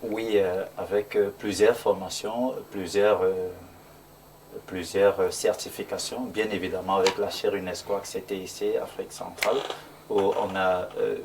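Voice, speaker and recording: A man's voice: on the low side, gravelly, distant mic, background noise. A man with a Cameroonian French accent, a computer science lecturer and guest on a talk show, speaking hesitantly with many fillers and repeated words.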